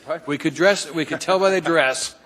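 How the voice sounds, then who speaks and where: A man chairing a city assembly meeting, running through agenda items in a brisk procedural way. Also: on the high side, a bit bright. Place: council chamber. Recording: long reverb in a council chamber.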